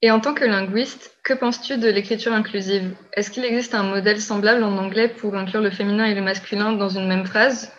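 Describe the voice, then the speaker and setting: Woman, interviewer, measured questions, dull muffled audio, faint noise. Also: medium pitch, steady tone. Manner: measured, asks careful prepared questions.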